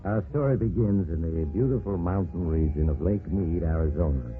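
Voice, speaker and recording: Man voicing a sinister radio storyteller, very deep voice, slow and ominous, tinny old broadcast with music. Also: lightly acted. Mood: measured. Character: sinister male storyteller, calm and teasing. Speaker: narrator. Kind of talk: 1940s radio drama narration.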